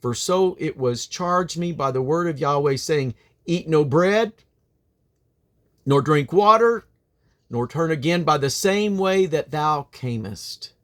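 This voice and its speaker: Guy reading bible verses out loud, kinda high voice, dramatic and declamatory. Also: expressive, slow pace. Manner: emphatic, declamatory on quoted speech. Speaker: Bible reader. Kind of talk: dramatic scripture reading aloud.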